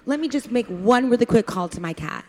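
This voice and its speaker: A woman's voice: fairly high pitch, expressive, a little fast, darkish tone, natural voice. A woman improvising a flustered, chatty, eager-to-please diner waitress in a comedy parody of a radio play. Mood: smitten, rambling. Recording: reverberant hall sound.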